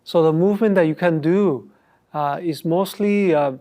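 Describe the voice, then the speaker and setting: A man's voice: a little high, moderate pace, mellow. A middle-aged man who grew up in Chile and Peru, an orthodontist explaining his work in a studio interview, speaking with many fillers and restarts. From Korea, raised in Chile and Peru. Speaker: orthodontist guest.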